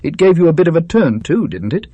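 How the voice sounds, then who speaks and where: A man voicing a jovial, teasing, unruffled gentleman with chatty exclamations in a ghost story audiobook. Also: fairly high pitch, big pitch swings, fast talker, breathy edge, dry recording, voice pushed up. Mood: teasing, laughing.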